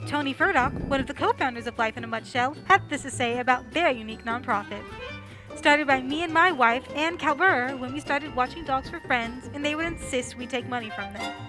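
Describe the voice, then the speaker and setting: Clear music plays behind a high-pitched woman. She reads a scripted announcement as the announcer. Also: brisk.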